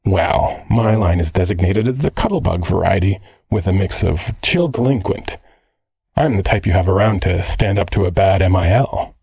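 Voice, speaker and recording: A man's voice: low-pitched, normal speed, creaky, darkish tone, husky, dry recording, clean studio sound, exaggerated voice. A man playing a teasing, jealous, slangy android boyfriend in an ASMR roleplay. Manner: cheeky, slangy, sardonic.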